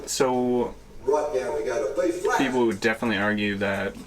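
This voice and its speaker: A man's voice: on the low side, steady tone, a little slow, a loud crowd, rough recording. A young man hosting a podcast, giving rambling, casual explanations and often searching for words. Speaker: podcast host. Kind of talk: casual chat.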